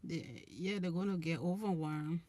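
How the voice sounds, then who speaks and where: A woman's voice: fairly low pitch, lively intonation, moderate pace, mellow. A young Liberian woman with a Liberian accent, hosting a casual live chat about relationships and giving earnest advice, restarting her phrases often. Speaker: relationship channel host. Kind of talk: casual live-stream chat answering viewers.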